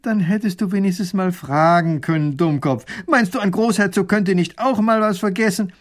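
A man voicing a small, forgetful old grand duke who grumbles in a weary, drawn-out way. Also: fairly high pitch, lively intonation, voice pushed up. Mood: grumbling.

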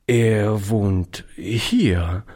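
A man voicing a gentle, humble old shopkeeper grandfather in an audiobook, in a mild, halting, earnest manner. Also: mid-range voice, big pitch swings, slightly rough, heavily acted. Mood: embarrassed, halting. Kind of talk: audiobook character voice.

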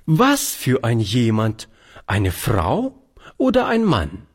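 A man's voice: medium pitch, wildly swooping pitch, slow pace, husky, exaggerated voice. A man voicing a fussy, prim old spinster aunt who is easily alarmed. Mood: suspicious.

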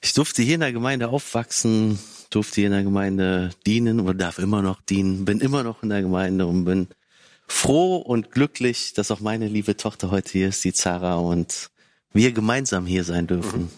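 A man in his forties introducing himself calmly as a father on a discussion panel. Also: fairly low pitch, normal speed, no echo, studio quality. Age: 40s.

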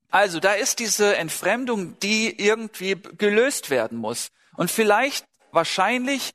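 Brief: male preacher, fairly high voice, chatty sermon, lingering hall echo. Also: fairly bright tone. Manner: conversational, asks the congregation questions. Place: church hall. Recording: long hall reverb.

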